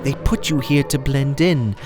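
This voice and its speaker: A man voicing a sad, eerily clingy female porcelain doll in a soft, airy voice for a horror story. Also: medium pitch, moderate pace, music in the background, voice pushed up, natural voice. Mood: sad, tender.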